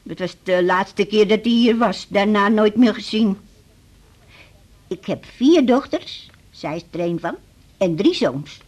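An actress playing a stubborn, forgetful woman in her eighties in a radio drama, with a husky voice and a blunt, rambling manner. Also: medium pitch, expressive, unhurried, a little mellow. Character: stubborn, forgetful 83-year-old mother, cheeky and rambling. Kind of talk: 1980s Dutch radio drama acting.

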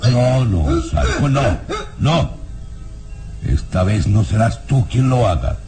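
Scheming radio drama villain, coldly menacing, theatrical, slow delivery, music behind. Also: male voice, medium pitch, wildly swooping pitch. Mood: firm, soothing. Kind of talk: old radio serial acting.

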